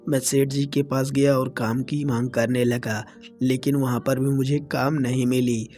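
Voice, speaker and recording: Guy doing a worried poor village lad in a cartoon, earnest pleading, pretty even pitch, music under it. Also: a little slow, lightly acted. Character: troubled poor young village man seeking work. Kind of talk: Hindi moral-story cartoon dubbing.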